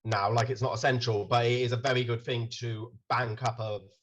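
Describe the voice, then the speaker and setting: A man running a tabletop game as game master, explaining rules in a relaxed way. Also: mid-range voice, fairly quick, dull audio.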